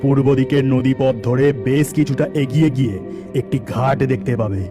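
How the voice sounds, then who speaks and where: Cartoon water god played by a man, mid voice, solemn and steady. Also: medium pitch, natural voice. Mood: urgent. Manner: measured, solemn. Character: wise, kindly male water god. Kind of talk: cartoon voice acting. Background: background music.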